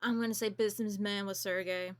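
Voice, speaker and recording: A woman's voice: mid-range voice, fairly even intonation, a little fast. A woman giving casual, blunt gaming commentary as a streamer, with informal, sweary phrasing. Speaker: game streamer reacting to and reviewing a demo.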